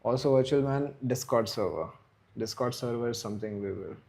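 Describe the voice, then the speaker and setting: Male streamer, 30s, mid-pitched, loose rambling chat with viewers. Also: fairly quick, gravelly, a little mellow. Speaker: livestream host. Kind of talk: casual live stream chat.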